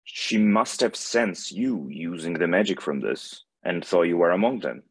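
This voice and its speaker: A man acts out a benevolent god of light for an animated fantasy. The voice is very deep and solemn, with grave, measured phrasing. The sound is dry and close. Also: slightly rough, dry recording, exaggerated voice. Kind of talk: animated fantasy script table read.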